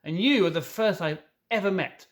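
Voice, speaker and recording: A man's voice: high voice, normal speed, pitched above the performer's own voice, close to an everyday voice. A man reading a storybook as an anxious, tearful male fawn who stammers and pleads. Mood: agonised. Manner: stammering, pleading, self-reproaching.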